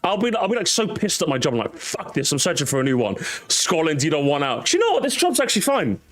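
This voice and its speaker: Animated fast-talking man in his thirties. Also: a little high. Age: 30s. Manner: animated, swearing, quick to laugh. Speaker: streamer host. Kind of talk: casual chat on a livestream.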